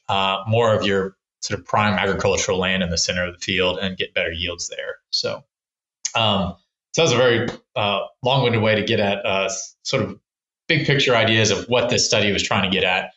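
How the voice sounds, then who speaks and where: A man's voice: on the low side, moderate pace, bright, forceful tone, echoey room, dull audio. A man from Texas, a wildlife researcher who is a podcast guest, explaining his work in a casual, rambling way with many restarts and fillers. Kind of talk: casual explanatory interview talk.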